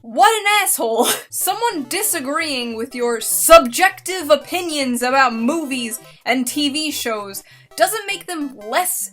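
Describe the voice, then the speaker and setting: Female commentary host, high and bright, mocking, casual, animated delivery. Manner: mocking, expressive, swears freely. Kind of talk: casual, sarcastic reaction commentary.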